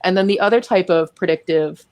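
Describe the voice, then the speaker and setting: A woman with a Boston accent, a guest on a talk show, explaining data analytics in clear, methodical steps. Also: on the low side, fairly quick, darkish tone, no echo. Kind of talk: casual conversation, explaining.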